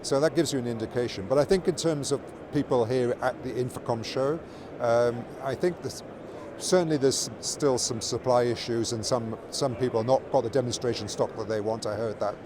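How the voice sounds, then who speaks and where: Man explaining over clear background noise. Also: medium pitch, moderate pace. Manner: explanatory, often repeats words and restarts phrases. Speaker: company product executive being interviewed. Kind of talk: informal trade show interview. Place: busy trade show exhibition hall. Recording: loud trade show floor noise. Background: clear ambient show floor noise.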